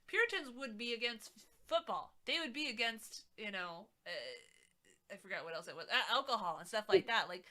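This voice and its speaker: A woman's voice: mid-range voice, lively intonation, normal speed, bright, forceful tone. A woman streamer chatting casually while she arranges craft beads, laughing often and trailing off with "I don't know.". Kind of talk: casual chat.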